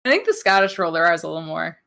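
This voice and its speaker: A woman's voice: on the low side, very animated, very fast, vocal fry, a bit bright. A woman co-hosting a wrestling podcast in casual, sarcastic chat, teasing her co-host and laughing often.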